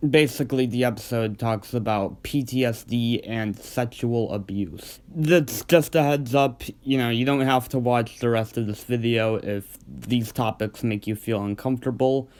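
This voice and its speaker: A man's voice: mid-range voice, a little mellow. A man hosting a video countdown, giving scripted review commentary in an informal, earnest way and stressing key words. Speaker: host of a video list of cartoon episodes.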